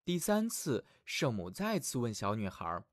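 A man narrating a scripted fairy tale for small children as their storyteller, in an expressive, affectionate manner. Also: mid-range voice, a little slow, dry recording, clean studio sound.

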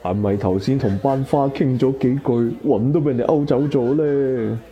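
A man's voice: medium pitch, moderate pace, lightly acted. A man playing a chubby, easygoing barbecue-stall owner in a Cantonese radio drama, bantering colloquially with old classmates. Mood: teasing. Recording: dull lo-fi audio.

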